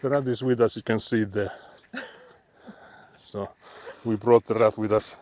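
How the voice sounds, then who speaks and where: A man's voice: on the low side, fairly even intonation, normal speed, a little mellow. A man with a Finnish accent, chatting casually and laughing often while live-streaming on a frozen lake. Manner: chatty, laughs often, reads chat aloud.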